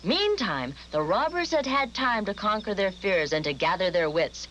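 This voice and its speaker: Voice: medium pitch, big pitch swings, moderate pace, bright, forceful tone, slight background noise. A narrator telling a fairy tale in a scripted storytelling style. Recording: music behind the voice.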